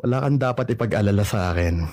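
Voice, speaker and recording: An actor playing a lovesick young ex-boyfriend who dodges questions and laughs things off in a radio drama. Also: male voice, fairly low pitch, lively intonation, fast, a little mellow, no echo, studio quality, natural voice. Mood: evasive. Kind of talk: Filipino radio drama acting.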